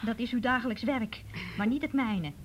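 A woman's voice: a little high, normal speed, slight background noise, natural voice. An actress playing a cold, haughty mother in a radio drama, speaking formally and scornfully. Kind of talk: Dutch radio drama acting.

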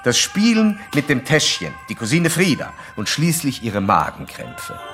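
A man's voice: medium pitch, huge pitch swings, a little slow, over music. An actor playing a self-assured male psychoanalyst in a German radio play, didactic and pressing as he questions a patient. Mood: insistent, accusing.

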